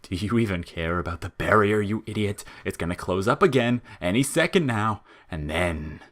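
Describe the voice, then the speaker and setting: A man's voice: fairly low pitch, lively intonation, normal speed, fairly bright tone. A man voicing a spiteful, falsely chirpy talking flower in audio fiction, taunting and needling with whiny outrage and menace. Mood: agitated.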